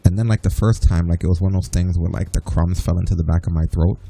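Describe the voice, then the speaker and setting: Low gravelly man, casual gamer chat. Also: fairly even intonation, fast. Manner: laid-back, rambling, swears casually. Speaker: streamer playing an online game with a friend. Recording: game music playing loudly behind. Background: game music.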